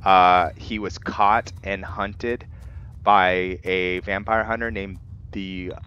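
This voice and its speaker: Low-voiced man, dull noisy recording. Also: fairly even intonation, slow pace. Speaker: gameplay video host. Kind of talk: casual gameplay commentary with roleplay narration. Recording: dull lo-fi mic, noisy background.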